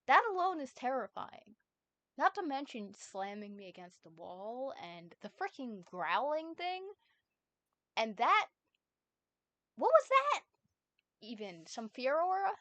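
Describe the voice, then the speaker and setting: A woman's voice: sits high, sing-song, pushed and bright, dry recording, exaggerated voice. A woman reading as a desperate teenage boy. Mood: frantic. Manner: pleading, rushed outbursts. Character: frightened, desperate teenage boy who is never believed. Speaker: streamer reading a fanfic aloud. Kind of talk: dramatic fanfic reading.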